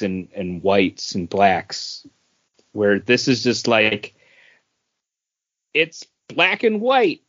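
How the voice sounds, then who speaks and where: A man co-hosts a casual review podcast, talking at an unhurried, slow pace. His mid-pitched voice sits in a dry space. The recording is a bit dull and lo-fi. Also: a bit bright, no echo. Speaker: podcast co-host reviewing comics. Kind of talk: casual chat.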